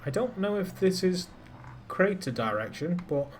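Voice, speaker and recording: A man's voice: high-pitched, sing-song, normal speed, slight background noise. A man giving casual, self-deprecating live commentary to his viewers while playing a space game. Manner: chats to viewers while piloting. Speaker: streamer.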